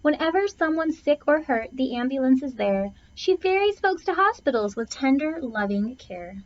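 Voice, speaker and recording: A woman's voice: sits high, lively intonation, moderate pace, slight background noise. A woman reading a rhyming children's picture book aloud with theatrical phrasing, stretching and punching key words. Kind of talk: expressive children's storybook read-aloud, rhyming verse. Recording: dull, lo-fi sound.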